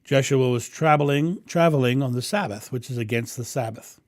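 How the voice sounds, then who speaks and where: A man with a booming voice hosting a live stream, answering viewers' questions in a rambling, animated way and acting out thoughts. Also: a little fast, no room sound, studio quality. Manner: animated, acts out inner thoughts with raised voice; rambling with frequent ums and restarts. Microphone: condenser mic through a mixing board.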